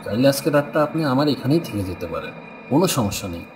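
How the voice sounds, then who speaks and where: An actor playing a greedy, callous, lecherous villager and killer in a horror cartoon, exclaiming and muttering theatrically. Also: male voice, mid-range voice, very animated, fast, over music, lightly acted. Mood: oily, welcoming.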